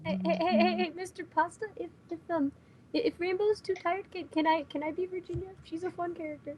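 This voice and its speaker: A woman's voice: very high-pitched, fairly even intonation, a little fast, a little mellow, slight background noise. A woman chatting casually with friends in a playful, somewhat hesitant, rambling way. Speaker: voice actor in radio play readings. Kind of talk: casual group chat.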